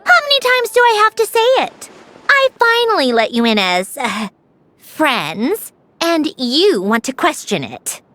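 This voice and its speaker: A woman playing a proud, prickly sentient machine girl in a video game, curt and impatient but secretly soft. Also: high-pitched, very animated, unhurried, heavily acted. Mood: impatient, flustered. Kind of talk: scripted anime-style game acting.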